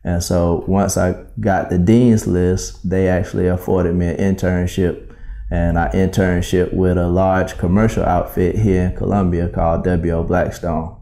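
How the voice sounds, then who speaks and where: A man from South Carolina, telling his story as a business owner in an interview, polite and measured with frequent ums. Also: sits low, flat delivery, creaky, mellow.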